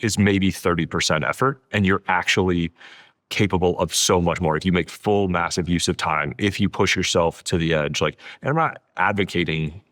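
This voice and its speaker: A man with a low voice talks through ideas as a guest in a casual interview. He leans on "like" as he goes and piles up words for emphasis. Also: fairly even intonation, moderate pace, fairly bright tone. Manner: peppers sentences with "like", emphatic repetition of adjectives. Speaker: podcast guest, author. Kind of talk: casual interview conversation, explaining.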